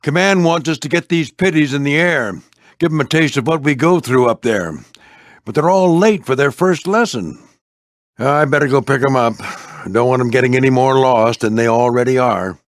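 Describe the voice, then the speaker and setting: An older man voicing a veteran warplane skipper in a video game, a gruff, fatherly flight instructor with folksy, military phrasing. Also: mid-range voice, moderate pace, dry recording, clean studio sound, lightly acted. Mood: encouraging, folksy. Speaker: squadron skipper and mentor. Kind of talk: animated video game character voice lines.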